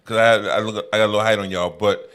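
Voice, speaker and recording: A man co-hosting a relaxed chat with friends, laid-back and agreeable, often repeating his words. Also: on the low side, fast. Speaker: co-host. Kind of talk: casual banter among friends.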